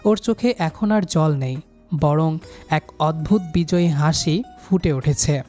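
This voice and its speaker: A man's voice: on the high side, unhurried, warm tone, soft background music. A young man narrating a scripted first-person love story in a dramatic, sentimental manner.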